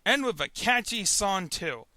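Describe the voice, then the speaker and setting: A man's voice: high voice, lively intonation, measured pace, background noise. A man hosting a web review show, giving scripted comedic commentary in an emphatic, mock-indignant manner.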